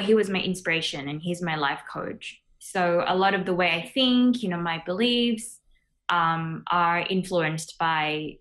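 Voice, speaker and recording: A woman's voice: lowish voice, a bit bright. A young woman from Thailand, a business founder, answering interview questions casually with many fillers over a video call.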